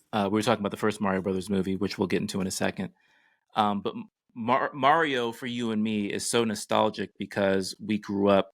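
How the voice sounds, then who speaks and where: A middle-aged man hosting a podcast in a casual, measured way, pausing between phrases. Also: fairly low pitch, a bit bright, no echo, studio quality. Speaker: podcast co-host.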